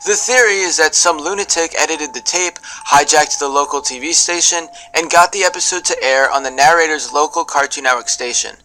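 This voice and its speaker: A man's voice: on the high side, brisk, hardly any pauses, bright, forceful tone, a little hiss or hum, rough recording. A man reading scripted narration for an online video, with music behind him. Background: background music.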